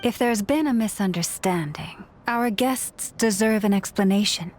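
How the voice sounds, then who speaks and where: A woman's voice: mid-range voice, normal speed, a little mellow, a little hiss or hum, lightly acted. A woman playing a serene, solemn young moon priestess in a game cutscene, formal and composed. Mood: grave. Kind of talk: video game cutscene acting.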